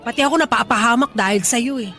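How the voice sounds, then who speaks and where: A prickly, tomboyish young woman in a radio drama, firing off curt and scornful comebacks. Her voice sits high, with faint music running underneath. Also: fast talker, sharp tone.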